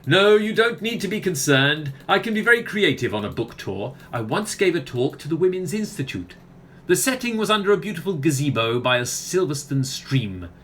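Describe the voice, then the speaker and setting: A man's voice: on the high side, sing-song, normal speed, fairly bright tone. A man playing a pompous, self-important author with a wordy, theatrical manner in a comic radio play. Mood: smug, anecdotal.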